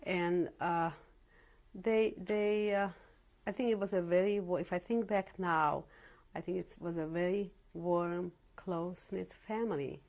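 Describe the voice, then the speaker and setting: A woman's voice: fairly low pitch, steady tone, unhurried, a little mellow. A woman in her seventies from Czechoslovakia, whose first language is not English, recalling her early life in an oral history interview with frequent hesitations. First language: Yiddish or Slovak. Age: 70s. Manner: reflective, with frequent hesitations and restarts. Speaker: interviewee, Holocaust survivor. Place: home interview in Cleveland.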